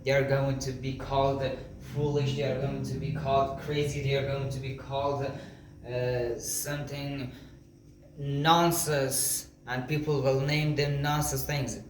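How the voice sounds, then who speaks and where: A man who speaks English as a second language gives an informal motivational talk in an earnest, halting, repetitive way. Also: mid-range voice, level tone. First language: not English (second language). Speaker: motivational content creator. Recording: echoey room with faint background noise.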